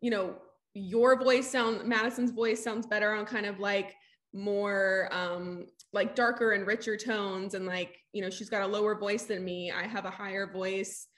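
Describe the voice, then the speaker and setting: A woman's voice: medium pitch, fairly even intonation, moderate pace, a bit bright. A woman chats casually in an interview as a singer, with a soulful, gritty voice and informal phrasing full of "like". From Southeast Texas, US. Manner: informal, frequent "like". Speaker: singer-songwriter in a sister duo.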